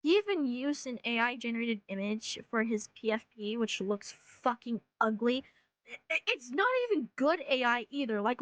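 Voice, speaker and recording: A woman's voice: fairly high pitch, a bit bright, no room sound, low-quality recording. A woman delivering rant commentary in a sarcastic, blunt way with swearing. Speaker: video host, commentary creator.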